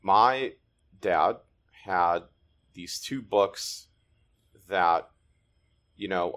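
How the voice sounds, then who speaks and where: A man born in Ukraine whose first language is Russian, a guest telling his family history in a thoughtful, halting way. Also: deep voice. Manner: thoughtful, restarts often, halting. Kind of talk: conversational interview.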